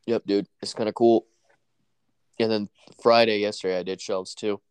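A man's voice: on the low side, flat delivery, normal speed, a little mellow, clean tone, no echo. A young man with a stuffy nose from allergies, chatting casually about work and sports, saying "dude" and "bro" a lot. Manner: laid-back, says "dude" and "bro" a lot.

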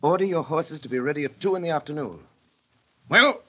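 A man playing a resolute, self-sacrificing English gentleman in an old radio drama, husky and urgently commanding. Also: on the high side, a little fast, natural voice. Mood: solemn, insistent. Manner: urgent, commanding, clipped phrases. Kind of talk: 1930s-40s radio drama acting. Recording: dull, muffled old radio recording.

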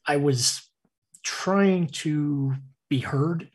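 Husky soft-spoken man on a headset. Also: a little high, very slow. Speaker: player on the stream. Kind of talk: casual stream chat.

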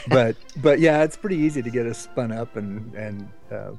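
A man hosts a podcast in a casual, conversational style. He muses wryly in a middle-pitched voice, with music clearly present in the recording. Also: normal speed, a little mellow. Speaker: podcast host.

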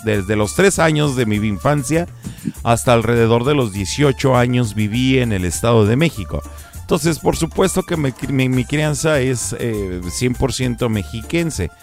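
Playful Mexican man hosting radio. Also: mid-range voice. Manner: playful, laughs often, teasing. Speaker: radio show host. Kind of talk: casual joking chat.

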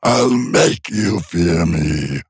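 Husky demonic dog corpse. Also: male voice, lively intonation, dry recording, studio quality, full character voice. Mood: insistent. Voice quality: low, husky, slow and drawn out. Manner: taunting, sly. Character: demonic creature in a dead dog's body, gleeful predator. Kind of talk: audiobook horror narration.

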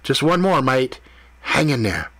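A man's voice: mid-range voice, very animated, measured pace, fairly bright tone. A man voicing a matey, teasing, geeky male friend in a story reading. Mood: encouraging.

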